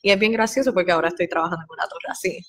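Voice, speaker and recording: A woman's voice: lowish voice, rapid-fire, fairly bright tone. A young woman, an air traffic controller guest chatting casually and slipping in English words. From born in Guatemala, raised in Puerto Rico. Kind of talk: casual interview chat.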